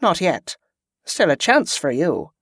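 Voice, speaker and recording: A man's voice: on the high side, wildly swooping pitch, slow, a bit bright, no room sound, clean studio sound, heavily acted. A man voicing a stern, ruthless woman military commander who talks in cold, clipped threats.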